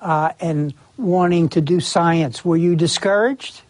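A man hosting an interview, who introduces his guest in a formal, measured way and then asks questions. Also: a little high, dry recording. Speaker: interview host.

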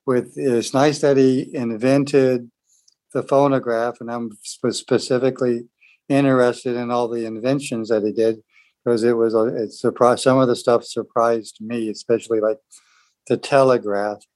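A man talking casually in a book discussion group, in a halting, rambling way. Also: medium pitch, steady tone, a little mellow, no echo. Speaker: book club participant.

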